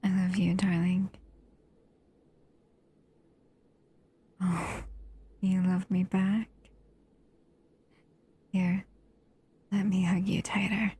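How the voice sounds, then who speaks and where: A woman playing an affectionate, possessive monster lover in an intimate audio roleplay, speaking in a tender, breathy murmur. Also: mid-range voice, flat delivery, dark tone. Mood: sleepy, tender. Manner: tender, unhurried, doting murmur.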